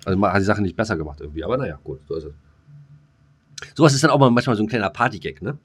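A man's voice: fairly low pitch, lively intonation, very fast, breathy edge. A man co-hosting a podcast, chatting casually in slangy, colloquial speech with a teasing manner. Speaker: podcast co-host. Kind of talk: casual chat.

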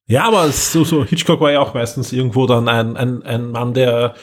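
A man hosts a casual podcast. His voice is a little husky and sits at a middle pitch. He talks conversationally, feeling his way through his phrases. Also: steady tone, moderate pace. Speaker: podcast host. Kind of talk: casual podcast chat about radio plays.

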